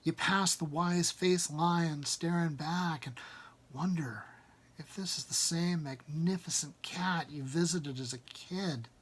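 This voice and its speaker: A man narrates a story in a husky voice at a slow pace. His phrasing is deliberate and dramatic, as an author reading his own work. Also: a little high, a bit bright. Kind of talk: dramatic second-person story narration after casual introduction.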